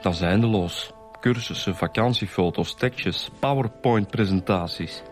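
A plain-spoken Flemish detective in a radio drama. His voice is fairly low, measured and matter-of-fact, over prominent music. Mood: weary, grumbling. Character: plain-spoken male detective, diligent subordinate who grumbles.

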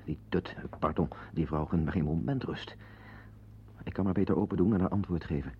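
Low, creaky henpecked husband. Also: male voice, fairly quick, full character voice. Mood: irritated, apologetic. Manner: hesitant, apologetic, wry. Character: nervous, reclusive, henpecked husband, grumbling to listeners. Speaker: radio play narrator and lead. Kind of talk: radio drama monologue and dialogue. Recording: dull lo-fi radio play, music behind.

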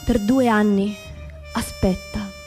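A woman narrates a scripted theatrical piece. Her delivery is solemn and measured, each phrase set down deliberately. Soft music runs faintly behind the voice. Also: medium pitch, steady tone. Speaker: stage narrator.

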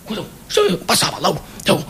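High, lively Roman man doing a monologue. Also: moderate pace, a little hiss or hum. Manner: rhythmic, repetitive wordplay chanted in loops. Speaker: stage actor. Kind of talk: comic theatre monologue.

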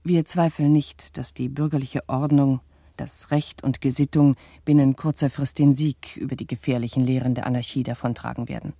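Very dark-toned, pompous male announcer. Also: medium pitch, fairly even intonation, normal speed, creaky, no echo, studio quality. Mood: formal, pompous. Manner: stiffly courteous, pompous. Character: formal, pompous bourgeois male announcer addressing his public. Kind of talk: formal scripted address in radio drama.

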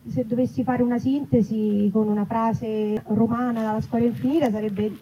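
A woman's voice: on the high side, little pitch movement, normal speed. A woman speaking conversationally and reflectively in an interview, building her thoughts in short phrases. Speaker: writer and actress, interviewee. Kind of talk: casual spoken interview before an audience. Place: live festival talk in an olive grove. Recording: muffled, noisy live event recording.

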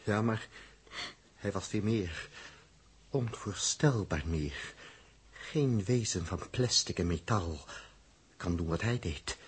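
An actor playing a serious, protective spaceship electronics expert with a husky voice in a 1960s radio drama. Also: male voice, low-pitched, animated, slow pace, pauses often, heavily acted. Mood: grave, awed.

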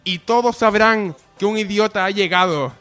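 A man's voice: sits high, moderate pace, pushed and bright, soft background music. A man hosting a comedy radio show with mock-pompous, declamatory presenting and banter.

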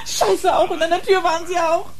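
A woman's voice: very high-pitched, steady tone, brisk, crowd noise. An actress playing an agitated, foul-mouthed young woman on drugs, nervy and whining, in a radio crime drama. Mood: upset, furious.

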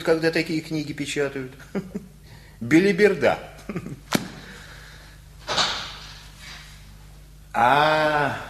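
A man's voice: on the high side, slight background noise. An actor playing a garrulous, teasing middle-aged working man in a Russian radio play, with a folksy, needling manner. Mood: baffled, amused. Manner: folksy, needling, chuckling, repeats words.

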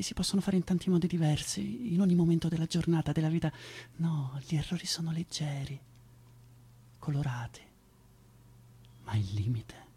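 A male actor playing an anxious, self-doubting man in a halting theatrical radio monologue, with a husky, breathy voice that moves between whispers and outbursts. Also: fairly high pitch. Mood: agitated, insistent, then hushed, uneasy. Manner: halting, stammering, repeating words. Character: anxious, self-doubting man confessing his own limits.

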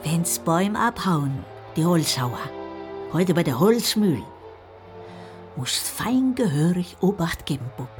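A woman's voice: fairly low pitch, animated, slow pace, music in the background. An actress playing an old rural great-grandmother who admonishes a boy in dialect phrasing, in a radio play. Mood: admonishing.

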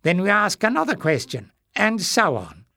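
A man's voice: high-pitched, wildly swooping pitch, moderate pace, gravelly, sharp tone, no room sound, pitched above the performer's own voice, full character voice. A man voicing a cruel, mocking grey furry creature who leads a pack and speaks in clipped broken sentences, in a dry storybook reading. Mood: menacing.

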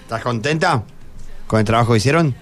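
A man's voice: medium pitch, a little fast, soft background music. A man with a Rioplatense accent hosting a radio programme off the cuff, often repeating words as he goes. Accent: Rioplatense Argentine.

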